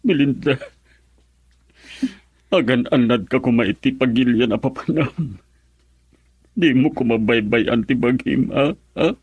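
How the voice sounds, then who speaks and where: A sentimental, fretful husband in an Ilocano radio drama. He has a mid-pitched, husky voice, recorded dry and close. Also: unhurried, long pauses, no room sound, phone-quality sound. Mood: upset. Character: sentimental husband fretting over wife leaving for abroad.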